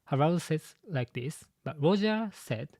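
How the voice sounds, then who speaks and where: A man with a Japanese accent, a native Japanese speaker, hosting a live-stream commentary and talking haltingly with many fillers and restarts. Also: on the high side, expressive, a little mellow, dry recording, studio quality. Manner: halting, full of "you know" fillers and restarts. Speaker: channel host and translator.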